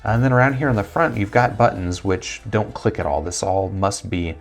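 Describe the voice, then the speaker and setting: A man's voice: fairly low pitch, normal speed. A man in his thirties with an American accent, giving a casual unboxing review as a tech reviewer on a lapel mic.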